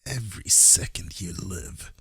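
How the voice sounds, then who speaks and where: A man playing a cold, domineering Sith lord in an audio drama, with a whispery gravelly voice and commanding, deliberate delivery. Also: low voice, expressive, bright, forceful tone, dry recording, clean studio sound, heavily acted. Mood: menacing.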